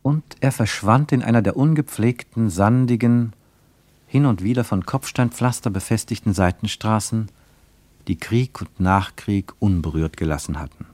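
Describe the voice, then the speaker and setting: A man acting as the grave, reflective narrator of a literary radio play, with deliberate dramatic phrasing. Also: lowish voice, a little mellow, dry recording, close to an everyday voice. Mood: grave, suspenseful.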